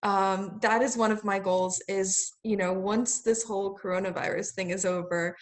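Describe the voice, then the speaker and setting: A woman's voice: medium pitch, fairly even intonation, moderate pace. An American woman hosting an English conversation lesson over a video call, explaining patiently and rephrasing her questions simply. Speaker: English teacher and host.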